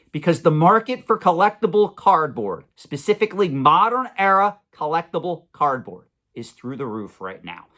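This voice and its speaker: A man with a head cold, sounding congested, delivering a one-take monologue to camera as a collectibles investment host, emphatic and prone to repeating himself. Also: fairly high pitch. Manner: emphatic, repeats himself, swings loud and soft. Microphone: camera mic, self-recorded.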